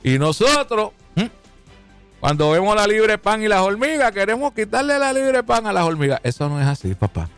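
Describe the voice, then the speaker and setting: Jokey radio host. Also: male voice, big pitch swings, moderate pace, a bit bright, smooth, faint music behind. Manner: jokey, folksy, calls listeners "papá", swings pitch for emphasis. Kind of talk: live radio talk.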